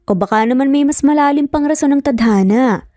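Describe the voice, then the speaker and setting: A woman's voice: high voice, fairly quick, dry recording, studio quality, natural voice. A woman with a Filipino accent voicing a teasing, easygoing man, a friend in a narrated story. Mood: amused, teasing. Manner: amused, meaningful teasing. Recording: soft music under the voice.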